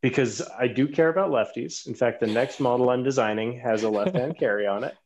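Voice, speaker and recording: Man, podcast guest, animated stories, halting restarts, mid pitch, muffled lo-fi audio. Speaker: podcast guest, knife designer. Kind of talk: casual chat.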